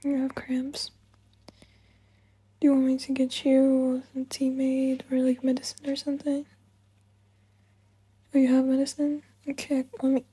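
Soft asmr girlfriend voice, high and really breathy, whispery and tender, close to the mic. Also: completely flat delivery, a little slow, pauses often, no room sound. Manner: soothing, hushed, tender, often slipping into whispers. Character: caring, affectionate girlfriend comforting her partner. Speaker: ASMR roleplay performer playing a girlfriend. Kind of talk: intimate ASMR roleplay, soft murmuring and whispering.

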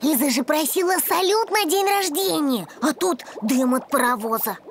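A woman's voice: sits high, lively intonation, normal speed, a little hiss or hum. A woman voicing a sweet, innocent little puppy, the youngest child, with earnest childlike speech in a cartoon. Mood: reproachful. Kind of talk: animated children's cartoon acting. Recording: music behind the voice.

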